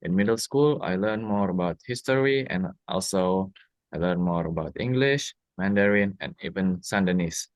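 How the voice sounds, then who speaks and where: Low-voiced young Indonesian-accented man. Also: normal speed, no room sound. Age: young adult. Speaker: university student. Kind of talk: prepared question-and-answer speaking exercise.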